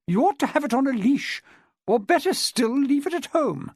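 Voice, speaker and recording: A man puts on a haughty, fussy older lady from a storybook, her voice climbing high and swooping with indignation. The recording is close and dry. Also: animated, moderate pace, vocal fry, no room sound, exaggerated voice. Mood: indignant.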